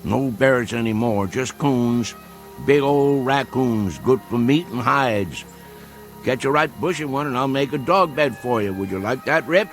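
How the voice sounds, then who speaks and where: A man's voice: medium pitch, lively intonation, measured pace, faint music behind. An actor playing an old rural American hunter in a radio drama, folksy and rambling, talking fondly to his hound. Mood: easygoing, reminiscing. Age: older.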